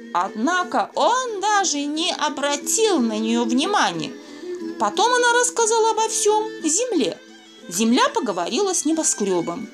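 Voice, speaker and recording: Lively high-voiced woman reading a fairy tale. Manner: expressive, addresses young listeners fondly. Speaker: storyteller.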